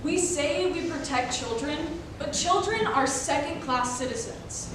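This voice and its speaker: A young woman delivering a dramatic readers theater monologue, deliberate and emphatic, in broken phrases. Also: high-pitched. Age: young adult. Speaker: college speech competitor. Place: indoor performance room, recorded from afar. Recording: very echoey room, distant mic, noisy.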